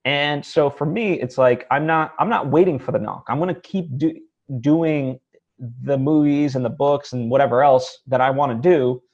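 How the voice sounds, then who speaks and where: A slightly dull, muffled recording of a man with a mid-pitched voice in casual conversation. He hosts informally, circling his thoughts haltingly and working them out aloud. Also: a little mellow. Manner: halting, thinking aloud, heavy use of "like" and "you know". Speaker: interview host, independent filmmaker. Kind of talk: casual chat.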